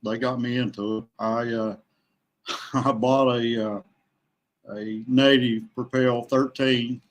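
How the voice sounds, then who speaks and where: Middle aged guy from west virginia, average pitch, halting laid-out storytelling with pauses. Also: little pitch movement. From West Virginia, USA. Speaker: podcast guest, kayak angler. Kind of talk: casual chat. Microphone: remote online call.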